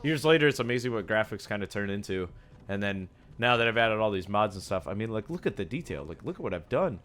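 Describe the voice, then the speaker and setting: A young man with a raspy, sore-sounding voice, casually commenting on his gameplay, often breaking into excited yelps between low mumbles. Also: fast. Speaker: gaming YouTuber.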